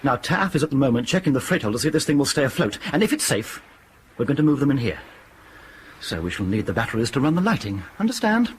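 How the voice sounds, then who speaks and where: An actor playing a stern, authoritative airline captain in a radio drama, with a clipped, commanding manner. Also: male voice, medium pitch, very animated, fast talker, slight background noise, natural voice.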